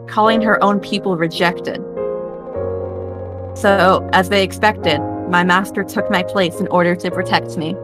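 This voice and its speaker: Casting: woman as young rejected witch for a game drama, slightly low voice, conversational, wistful, gently teasing. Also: natural voice. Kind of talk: fan-performed game script, dramatic dialogue.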